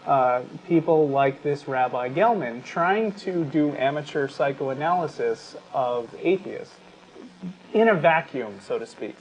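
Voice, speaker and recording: A man co-hosting an unscripted talk show, explaining his views in a measured, halting way. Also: mid-range voice, expressive. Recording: dull lo-fi sound with clear background noise.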